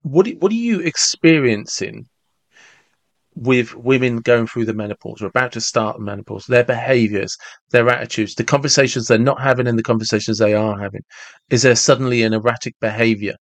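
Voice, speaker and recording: Animated man in his forties. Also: medium pitch, a little fast, no room sound. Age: 40s. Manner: animated, restarts and repeats words when reasoning aloud. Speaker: podcast host. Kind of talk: casual conversation.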